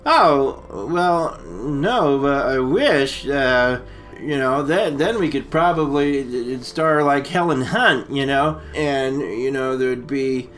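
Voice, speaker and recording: Animated, bright-voiced man recapping a movie. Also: mid-range voice, faint music behind. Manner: rambling, halting, slangy. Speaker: comedy storytelling co-host. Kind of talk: casual comic movie recap.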